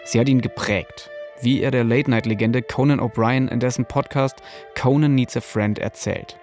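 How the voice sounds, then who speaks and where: A man's voice: medium pitch, steady tone, normal speed, darkish tone, soft background music. A man narrating a scripted podcast as host, in a casual, personal storytelling manner.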